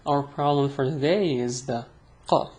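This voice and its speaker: A man's voice: mid-range voice, a little mellow. A young man with an Arabic accent, a native Arabic speaker, giving a chatty, self-deprecating online pronunciation lesson. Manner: slow, chatty, self-deprecating, drawn-out sounds. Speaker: language teacher. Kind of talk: casual online language lesson.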